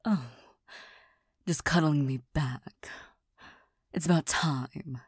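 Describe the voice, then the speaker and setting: A prickly young girlfriend who hides her tenderness, as a woman plays her in an audio roleplay. Her voice is low and very husky, and she half-whispers slowly with little stammers and shy laughs. The sound is dry and close, with no room around it. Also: dry recording, exaggerated voice. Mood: vulnerable, defensive. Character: proud, touchy young girlfriend hiding tenderness. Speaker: voice actor in a listener roleplay.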